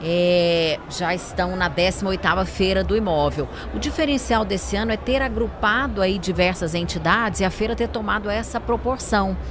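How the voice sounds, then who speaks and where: A woman's voice: medium pitch, normal speed, few pauses. A woman with a Brazilian accent hosting a conversational podcast interview. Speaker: podcast host. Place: busy trade fair stand. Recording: clear urban ambient noise at trade fair.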